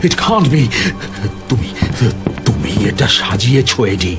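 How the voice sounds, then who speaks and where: A man's voice: medium pitch, huge pitch swings, slow pace, loud music behind the voice, exaggerated voice. A man playing a guilt-ridden, paranoid man coming apart in an audio drama, stammering and repeating himself theatrically. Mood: disbelieving, agitated. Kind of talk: audio drama acting, Bengali mixed with English.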